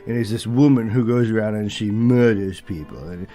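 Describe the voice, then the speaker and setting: A man playing a doddering, opinionated old British film critic who rambles haltingly and mutters forgetful asides in a comic review monologue. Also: fairly low pitch, mellow, faint music behind, close to an everyday voice. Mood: nostalgic, rambling.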